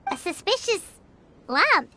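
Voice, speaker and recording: A woman voicing a tiny, childlike, greedy and excitable fairy companion in an anime game, with a squeaky, whiny, eager delivery. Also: slow pace, dry recording, heavily acted. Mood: puzzled, scheming. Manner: refers to self in third person, whiny and eager. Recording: music behind the voice.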